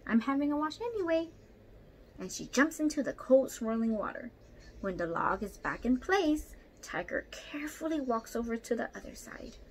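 Animated young woman reading to children. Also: fairly high pitch, moderate pace, slight background noise, poor audio. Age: young adult. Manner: expressive, asks child listeners questions. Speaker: storyteller for children. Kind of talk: expressive picture-book read-aloud. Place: small room at home, indoors.

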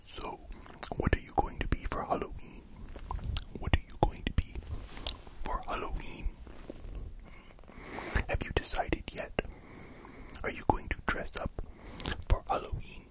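Casting: vampire dog count acted by a puppeteer, playful and softly menacing, all in hushed, slow ASMR whispers, close-miked. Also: very high-pitched, poor audio. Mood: playful, soothing. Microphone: close pair of microphones.

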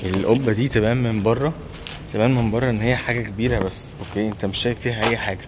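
Fast-talking young Egyptian man, music behind. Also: fairly low pitch, fairly even intonation. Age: 20s. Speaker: travel vlogger. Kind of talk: casual vlog chat to camera. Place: old stone mosque, arcades and open rooftop. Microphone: camera held at arm's length.

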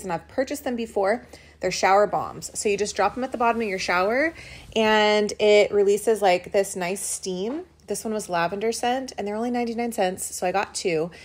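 A woman's voice: medium pitch, fairly quick. A woman talking casually through a shopping haul in voice-over, upbeat and rambling with fillers, recorded at home. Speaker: vlogger. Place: home, washing machines running.

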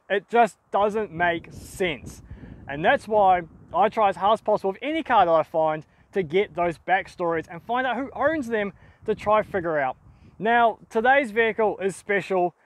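A young Australian man hosting an excited outdoor vlog, exclaiming about a car wreck he found in open bushland, speaking to a camera at a short distance. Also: sits high. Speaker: car wreck hunter, channel host.

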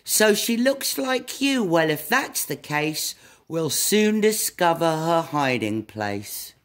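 A woman's voice: low-pitched, very animated, slow, heavily acted. A woman reading a storybook aloud as a helpful, confident but muddled butterfly guide. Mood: flustered.

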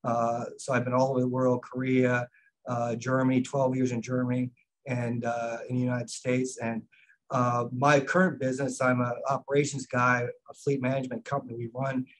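Older guy on a video call, flat monotone, lots of uhs and restarts. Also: mid-range voice, rough recording. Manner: halting, many fillers. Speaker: fleet management consultant.

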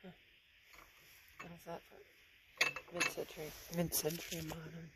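A woman with a hoarse, flu-worn voice gives casual running commentary while browsing a large indoor antique mall, filmed on a handheld phone. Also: completely flat delivery, moderate pace. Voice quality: gravelly, low-energy, half-whispered asides. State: hoarse from the flu, losing her voice. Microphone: handheld phone camera. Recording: noisy shop ambience behind phone audio.

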